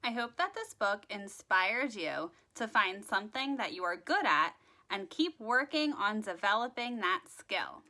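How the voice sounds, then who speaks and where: A woman reads a children's story aloud with a lively, swooping pitch and an unhurried pace. Her delivery is dramatic and deliberate, shaped for young listeners, with a bright, clear-cut edge to the tone. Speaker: children's story time host and reader. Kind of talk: expressive read-aloud of a rhyming children's picture book.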